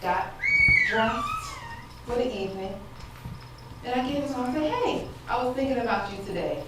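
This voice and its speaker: An actress playing an emotional, hopeful wife who tells a deliberate, dramatic story in a stage monologue. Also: female voice, on the high side, big pitch swings. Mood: tender, excited. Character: hopeful, emotional wife recounting her long-awaited first pregnancy. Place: stage in a theater. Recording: very echoey stage, distant mic, audible room noise.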